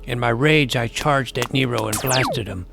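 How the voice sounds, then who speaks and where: A man playing an earnest, nerdy schoolboy superhero who narrates his own adventure in a deadpan first-person style. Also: mid-range voice, moderate pace, vocal fry. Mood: earnest narrating. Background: music.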